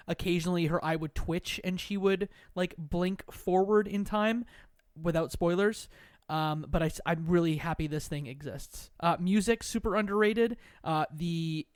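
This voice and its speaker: A man's voice: fairly high pitch, clean tone, no room sound, studio quality. A man speaking as a podcast guest, giving his opinions in a casual, articulate, discursive way.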